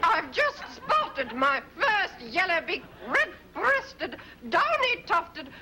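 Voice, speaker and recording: A woman playing an eccentric, excitable lady birdwatcher in a sitcom, gushing, over studio audience laughter. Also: very high-pitched, takes it slowly, slight background noise, full character voice.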